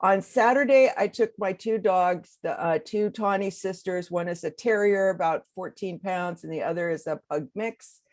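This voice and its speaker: A woman co-hosting a video call, telling a casual story in a lively, animated way. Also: on the low side, normal speed, no room sound. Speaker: co-host.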